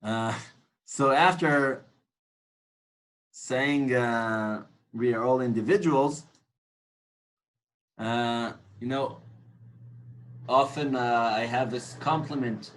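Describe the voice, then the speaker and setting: A man's voice: mid-range voice, fairly even intonation, very slow, long pauses, fairly bright tone, poor audio. Man lecturing, earnest and reflective. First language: Hebrew. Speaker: tour guide instructor. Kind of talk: informal lecture on guiding.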